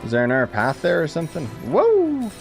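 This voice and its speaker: A man's voice: on the high side, wildly swooping pitch, fairly quick, vocal fry, faint music behind. A man giving casual, slangy live commentary as he plays a game and chats with viewers. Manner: informal, slangy, exclaims and chuckles while playing. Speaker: gaming streamer.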